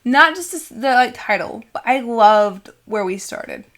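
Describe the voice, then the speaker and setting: A woman's voice: a little high, expressive, measured pace, fairly bright tone. A young woman co-hosting a podcast in casual chat, enthusiastic and chatty. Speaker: podcast co-host.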